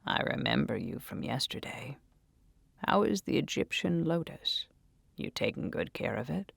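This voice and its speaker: A woman voicing a gaunt older male flower-shop keeper in audio fiction, creepy and eager, speaking softly and breathily in a coaxing, soothing way. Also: a bass voice, sing-song, dry recording, studio quality, exaggerated voice. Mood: creepy, polite. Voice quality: breathy, whispery. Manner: slow, soft, coaxing.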